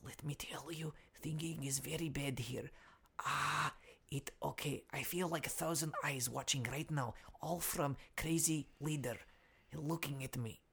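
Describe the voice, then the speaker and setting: Gravelly, whispering Ukrainian correspondent. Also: male voice, a little high, steady tone, a little slow, heavily acted. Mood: fearful, secretive. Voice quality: hushed, breathy, gravelly. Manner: broken English, repetitive, nervously secretive. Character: anxious Ukrainian foreign correspondent man, whispering, hapless. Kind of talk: comic fake news field report.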